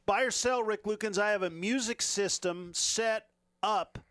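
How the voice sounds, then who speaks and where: A man hosting a sports talk radio show, briskly running a quick question segment. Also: sits high, fairly bright tone, smooth, no echo, clean studio sound.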